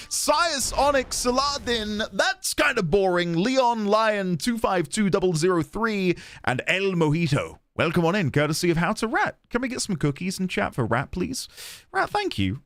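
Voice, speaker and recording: A man live-streaming a video game, chatting excitedly with viewers, thanking them and stumbling over restarts as he talks. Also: high voice. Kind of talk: casual live-stream chat and commentary.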